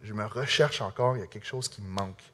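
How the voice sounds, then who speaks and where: A man with a Québécois French accent, telling an audience his personal testimony in an earnest, halting, conversational way. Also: fairly low pitch, sharp tone. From Quebec. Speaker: speaker sharing a personal testimony.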